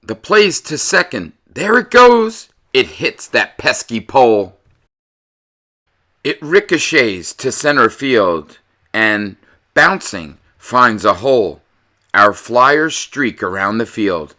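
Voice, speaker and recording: A man giving an expressive, dramatic read-aloud in the manner of a children's storyteller. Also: medium pitch, slow. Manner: animated, dramatic delivery.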